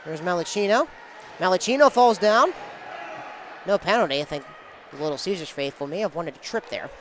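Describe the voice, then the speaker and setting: A man's voice: high voice, lively intonation, a little fast, fairly bright tone, slight background noise. A man working as a play-by-play sportscaster, calling live game action in short, clipped phrases.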